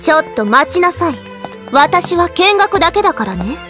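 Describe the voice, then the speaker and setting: Very high-pitched anime girl. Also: very animated, normal speed, music in the background. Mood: earnest. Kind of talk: anime audio drama acting.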